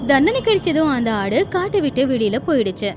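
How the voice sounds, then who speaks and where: High-voiced woman telling a fable. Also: sing-song, brisk, faint music behind. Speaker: narrator. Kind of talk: animated children's fable storytelling.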